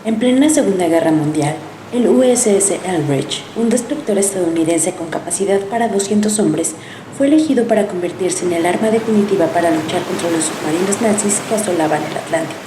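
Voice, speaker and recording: A woman reading a scripted documentary narration in a measured storytelling manner. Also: on the low side. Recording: roomy with clear background noise.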